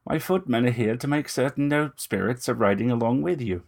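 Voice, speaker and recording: A man's voice: medium pitch, a little fast, gravelly, pitched above the performer's own voice. A man voicing a corpulent, genteel vampire in an audio story, unctuous, formal and menacing. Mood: oily, courteous. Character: corpulent, genteel, predatory male vampire with ragged breath.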